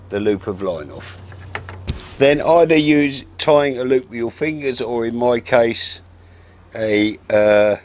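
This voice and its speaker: A man's voice: medium pitch, darkish tone, slight background noise. A man in his sixties, recorded outdoors in a garden, frankly talking through a fishing how-to step by step. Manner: plain, practical and frank, with short step-by-step instructions. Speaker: fishing instructor. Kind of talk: casual how-to demonstration. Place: outdoors in a garden by a wooden fence.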